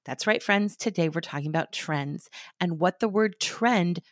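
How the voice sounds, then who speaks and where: A woman's voice: fairly low pitch, moderate pace, no room sound, studio quality. A middle-aged woman hosting a style podcast in a friendly, chatty way, her voice a little scratchy from a recent cold. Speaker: podcast host, stylist. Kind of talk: scripted podcast talk.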